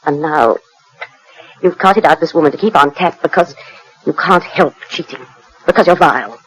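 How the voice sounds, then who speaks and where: An actress with a British accent playing a proud, bitter wronged wife in a 1940s radio drama, crisp, controlled and cutting. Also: female voice, low voice, expressive, normal speed. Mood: bitter contempt. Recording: noisy 1940s radio recording.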